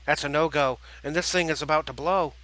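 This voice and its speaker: A protective, no-nonsense turkey leader from a storybook, done by a male narrator. He speaks bluntly, with a New Jersey accent and a sharp, forceful edge. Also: on the high side, steady tone, brisk. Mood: grim. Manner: blunt, grim.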